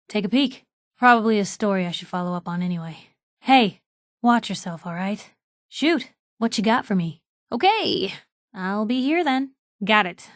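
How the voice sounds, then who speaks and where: A woman's voice: a little high, dry recording, studio quality, lightly acted. A woman playing a brash, nosy young American reporter in a video game, wry and idealistic, with a sardonic, punchy, conversational delivery. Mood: brisk, casual. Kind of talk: video game companion dialogue.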